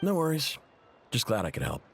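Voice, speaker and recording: An actor playing a helpful, easygoing mechanic in a video game, talking in a casual, sympathetic way. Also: male voice, mid-range voice, fairly quick, slight background noise. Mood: friendly. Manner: casual, expressive, conversational.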